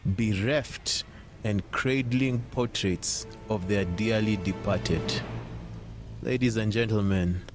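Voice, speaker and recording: A man narrating an audio drama in a theatrical, measured, suspenseful way. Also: fairly low pitch. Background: music.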